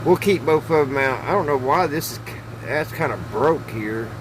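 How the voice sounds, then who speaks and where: A man's voice: mid-range voice, lively intonation, moderate pace, background noise. A middle-aged man chatting casually and thinking aloud in a folksy, hesitant way as he demonstrates hands-on tinkering. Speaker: host of a hobby radio repair channel. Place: small wood-panelled indoor workshop.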